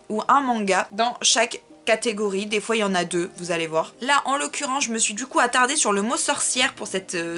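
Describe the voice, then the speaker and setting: A woman's voice: on the high side, fairly bright tone. A woman book vlogger talking casually and informally to her viewers, with chatty, self-deprecating asides. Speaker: book vlogger presenting a reading challenge.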